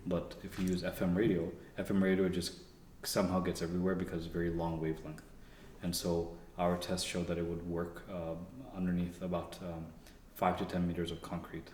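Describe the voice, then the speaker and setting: Young male guest, deep monotone, loose chatty answers full of restarts; roomy echo with faint background hum. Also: fairly quick, few pauses. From Canada. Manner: relaxed, rambling with frequent fillers and restarts. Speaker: guest, engineer. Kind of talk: casual interview conversation. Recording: echoey room.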